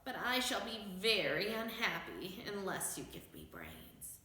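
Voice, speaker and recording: A woman voicing an eager scarecrow who pleads in slow, drawn-out phrases in a storybook reading. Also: mid-range voice, wildly swooping pitch, pushed and bright, heavy reverb, slight background noise, exaggerated voice, poor audio. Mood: pleading.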